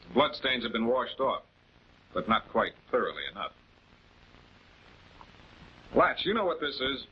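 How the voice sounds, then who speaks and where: Methodical prosecutor, accusatory and deliberate, slightly husky, vintage crime drama, dull film soundtrack. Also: male voice, medium pitch, very animated, exaggerated voice. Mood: explaining, firm. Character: composed, methodical male prosecutor confronting suspects. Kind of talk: 1940s television crime drama acting.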